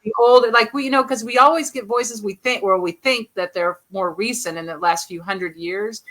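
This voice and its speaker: A woman's voice: mid-range voice, normal speed, fairly bright tone. An American woman in a casual group chat, rambling and thinking aloud with frequent restarts. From United States.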